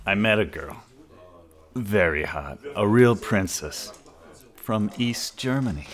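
Slow-talking smitten English-speaking man. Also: fairly low pitch, expressive. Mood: smug, smitten. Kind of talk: radio crime drama acting.

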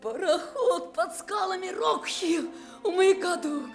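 High-pitched excitable teenage girl. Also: takes it slowly, gravelly, over music, exaggerated voice. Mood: excited, alarmed. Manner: urgent, exclaiming, insistent. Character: excitable sixteen-year-old lighthouse keeper's daughter. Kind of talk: 1960s Soviet radio drama acting. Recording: noisy old radio drama recording.

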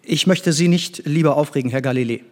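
An actor playing an earnest middle-aged scientist and former pupil in a stage drama, intense and theatrical. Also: male voice, fairly high pitch, brisk, lightly acted. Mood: formal, reserved.

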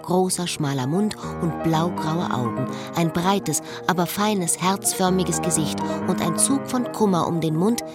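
An actress playing a wistful, bittersweet former village schoolteacher in a radio play, with a measured, literary delivery. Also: female voice, lowish voice, loud music behind the voice, close to an everyday voice. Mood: wistful, melancholy. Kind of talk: radio play acting and inner monologue.

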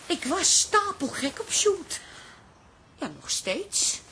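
An actress playing a frank, affectionate middle-aged wife in a radio drama, teasing and down-to-earth, with hesitant pauses. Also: female voice, very high voice, sing-song, bright, forceful tone, a little hiss or hum, exaggerated voice. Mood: frank, reassuring.

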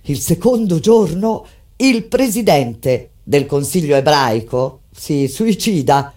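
A woman performing a theatrical monologue as a grave resistance fighter recounting an uprising, in a solemn, deliberate, declamatory delivery. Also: low-pitched, animated. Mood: grave, tense. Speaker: actress narrating a stage monologue. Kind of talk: theatrical dramatic reading of a survivor's testimony. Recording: theatrical reading over prominent music. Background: loud musical accompaniment.

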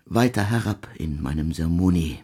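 A man playing a brooding, doomed composer who addresses his guests in halting, murmured, archaic solemnity in a radio drama. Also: low-pitched, expressive, warm tone, slightly rough. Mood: solemn, murmuring. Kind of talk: German radio drama acting.

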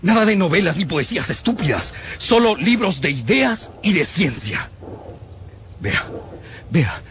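A fanatical, domineering man in a radio drama. His high voice swings widely as he harangues with slow, menacing contempt, over faint noise. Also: very animated, full character voice. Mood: grandiose, cold. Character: fanatical, domineering male survivor, ranting and contemptuous.

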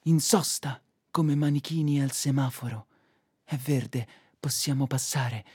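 An actor playing a fevered prophet narrating a doomed city, declaiming a theatrical monologue with insistent repetitions. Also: male voice, mid-range voice, a little slow, a little mellow, slightly rough. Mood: solemn, poetic.

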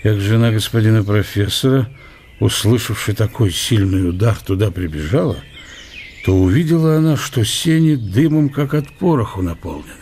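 An actor playing a brooding middle-aged Russian scientist of the eighteenth century in a radio drama, reflective and declamatory, with archaic, bookish diction. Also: male voice, fairly low pitch, animated, normal speed, mellow, slight background noise. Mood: grave reading.

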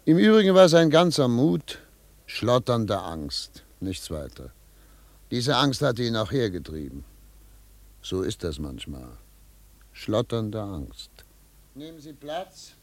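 An actor playing a stern, impatient investigator in a German radio drama, questioning in a commanding, clipped way. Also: male voice, medium pitch, big pitch swings, slow, long pauses. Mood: dry, narrating. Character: stern, impatient male investigator interrogating a suspect.

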